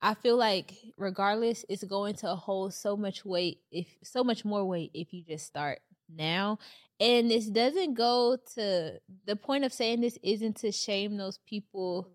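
A woman's voice: medium pitch, a little slow, dry recording, studio quality. Emphatic woman urging listeners on. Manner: emphatic, motivational, animated storytelling. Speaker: podcast co-host. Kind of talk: casual podcast chat.

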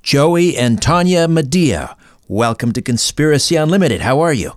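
A man's voice: on the high side, sing-song, moderate pace. A man hosting a show, reading scripted introductions and questions in a presenter's style. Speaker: podcast and radio host. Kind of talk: scripted host narration, ad reading and interviewing.

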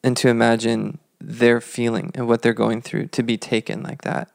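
A man in his thirties tells history conversationally as a podcast co-host. His voice is smooth and fairly even, with a noticeable creak, and his delivery is measured and reflective. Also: medium pitch, dry recording, studio quality.

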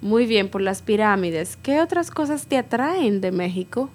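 A woman's voice: medium pitch, expressive, a little slow. A woman hosting a casual podcast interview, asking questions and summing up her guest's answers with encouragement. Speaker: podcast host.

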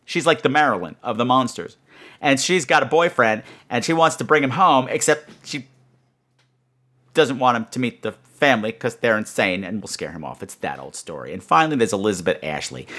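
Animated, rambling man hosting a podcast. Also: mid-range voice, fairly quick. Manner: rambling, sarcastic asides, swearing. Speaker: podcast host. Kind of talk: casual comedic storytelling monologue.

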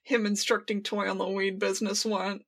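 A woman co-hosting a casual fan podcast, laughing as she talks and excitedly acting out the lines she quotes. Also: medium pitch, normal speed, breathy edge. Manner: giggly, laughs while talking. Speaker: podcast co-host.

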